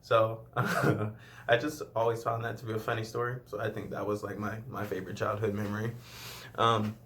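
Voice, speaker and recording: A man in his late twenties from Louisiana talks in a somewhat low voice. He rambles casually through questions about himself, often breaking into laughs and asides, as if talking to a camera mic in his room. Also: flat delivery, normal speed, a bit bright, a little hiss or hum. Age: 20s. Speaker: vlogger. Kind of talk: casual first-video Q&A.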